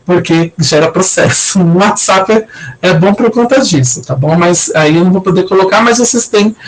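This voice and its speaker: A man's voice: sits high, moderate pace, low-quality recording. A young adult man from Brazil, teaching a casual online class while getting over a cold, rambling with many fillers and restarts. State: recovering from a cold.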